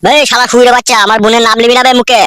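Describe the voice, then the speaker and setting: A man as a fast-talking, hot-headed young villager. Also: very high voice, flat delivery, pushed and bright, clear, resonant tone, dry recording. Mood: furious. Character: hot-tempered young village man, crude protective brother. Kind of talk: cartoon voice acting.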